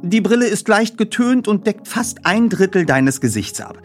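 Guy doing a bossy know-it-all teen boy detective, pitched up, wordy and pompous, audiobook style. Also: expressive, normal speed, soft background music. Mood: bossy, decisive.

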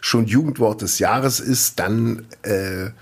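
A man with a fairly low, husky voice chats on a podcast in a relaxed way. He fills his sentences with hesitations and now and then reads short news items aloud. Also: takes it slowly. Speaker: podcast co-host. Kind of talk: casual podcast chat.

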